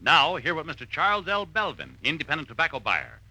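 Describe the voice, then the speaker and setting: A man's voice: on the high side, huge pitch swings, brisk, pushed and bright. A man announcing in the punchy style of a 1940s radio advertisement. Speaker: second commercial announcer. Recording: noisy old radio recording.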